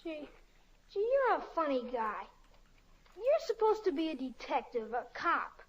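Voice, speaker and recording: A woman's voice: high voice, big pitch swings, measured pace, a little hiss or hum. A woman playing a frightened, street-tough young boy with slangy, stammering speech in an old-time radio drama. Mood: softening, earnest. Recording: dull lo-fi old radio recording.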